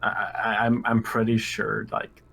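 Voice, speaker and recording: A man's voice: lowish voice, monotone, measured pace, creaky, fairly bright tone. A man playing a tabletop roleplaying game, chatting casually in and out of character.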